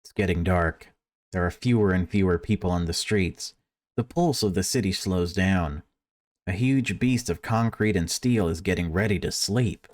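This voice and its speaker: A man doing a let's play, reading a visual novel aloud and adding casual, sarcastic asides. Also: deep voice. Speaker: video game streamer.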